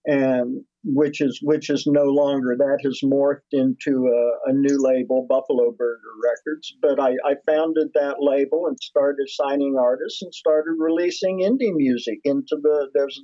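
A man's voice: mid-range voice, moderate pace, few pauses, mellow, no room sound, highs cut off. A man in his seventies, a musician and producer, telling his story in a casual interview with many restarts and fillers. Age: 70s.

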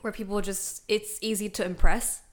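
A woman's voice: medium pitch, steady tone, normal speed. A young woman from Malaysia with a slightly American accent, chatting freely as a guest in a podcast conversation, full of "like" fillers. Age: young adult. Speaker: guest, emerging actor.

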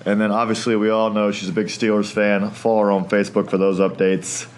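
A man's voice: fairly low pitch, flat delivery, fairly quick, slight background noise. Upbeat man hosting a fitness podcast. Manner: upbeat, friendly, laughs easily. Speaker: podcast host. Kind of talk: casual podcast chat.

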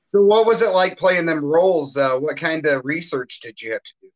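A man's voice: a little high, normal speed, rough recording. Easygoing male podcast host. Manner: easygoing, short casual questions. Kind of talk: casual interview chat.

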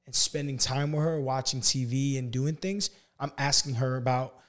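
An earnest man hosting a podcast, talking in an easy, heartfelt way. His voice is a little hoarse and sits in a middle register. He quickens when he gets caught up in a story. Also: medium pitch. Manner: earnest, speeds up when telling stories. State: slightly hoarse. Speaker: podcast host. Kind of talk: casual podcast chat.

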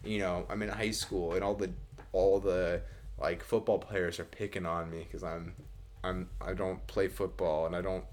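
A young American man from the Midwest co-hosting a podcast, chatting casually and comedically in a rambling, halting way with casual swearing. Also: deep voice, level tone, a little mellow. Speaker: podcast co-host.

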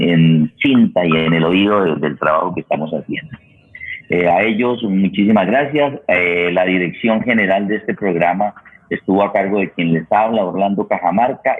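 A Colombian man hosting a radio programme in a semi-formal, wordy way, deliberate and halting, often repeating himself. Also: low voice, breathy edge. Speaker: radio host and theatre director. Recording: tinny phone-like line.

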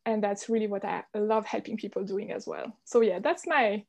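A woman's voice: mid-range voice, normal speed, creaky, a little mellow. A woman from Switzerland speaking as an interview guest, telling her own story in a candid, reflective way. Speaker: podcast guest, entrepreneur. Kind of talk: conversational interview.

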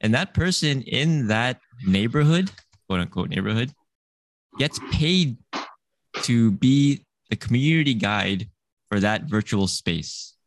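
A man's voice: mid-range voice, expressive, slow, rough recording. A man hosting a casual chat among friends, rambling with many fillers. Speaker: podcast host.

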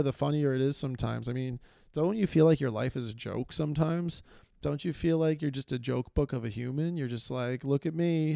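Young man, comedy podcast host, gravelly, dark mellow tone, dry close mic, rambling casual chat. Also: on the high side, no echo. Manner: rambling, self-deprecating, many fillers and restarts. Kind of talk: casual solo comedy monologue. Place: small room, filmed on video.

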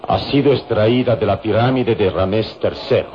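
A man's voice: on the low side, a little hiss or hum, lightly acted. A man playing a solemn, mysterious adventurer hero who speaks grandly in an old-fashioned radio drama. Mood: firm. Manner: solemn, grandiloquent, deliberate warnings. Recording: dull old radio serial recording.

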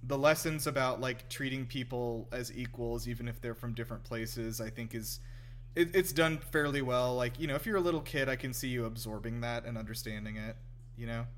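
A young man hosting a podcast, chatting casually about films in a halting, rambling, informal way. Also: mid-range voice, a bit bright, a little hiss or hum. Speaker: podcast host. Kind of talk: casual chat, informal film review.

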